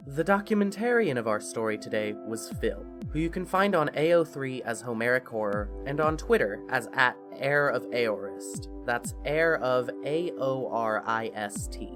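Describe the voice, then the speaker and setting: An expressive narrator reads scripted fiction for a podcast in a measured storytelling manner. Faint music plays behind the voice. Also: medium pitch.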